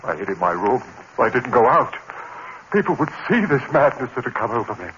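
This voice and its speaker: A man's voice: mid-range voice, sing-song, a bit bright. An actor playing a tormented man haunted by voices and sliding into madness, in theatrical, anguished 1950s horror radio drama style. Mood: haunted, brooding. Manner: theatrical, anguished, halting delivery. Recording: muffled, noisy vintage 1950s radio recording.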